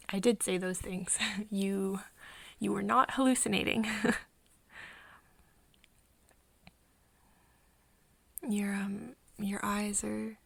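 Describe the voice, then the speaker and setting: ASMR roleplay lead: woman playing caring young female friend, breathy, hesitant, unhurried, very close dry sound. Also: medium pitch, no echo. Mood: gentle, sheepish. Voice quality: soft, breathy. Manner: hesitant, stammering, tender. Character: caring, flustered young woman soothing her vampire friend.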